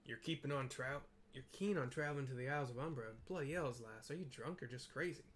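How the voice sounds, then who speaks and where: A man's voice: mid-range voice, fast talker, fairly bright tone, close to an everyday voice. A man voicing a blunt, incredulous guard in a fantasy video game, scoffing and morbid.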